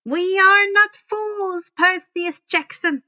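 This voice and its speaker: A woman's voice: very high-pitched, takes it slowly, pushed and bright, highs cut off, pitched above the performer's own voice, exaggerated voice. A woman voicing a menacing old woman teacher who is secretly a monster, in audiobook style, with sugary cooing and snarling sneers. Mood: menacing, accusing. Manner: cooing false sweetness turning to snarling sneer.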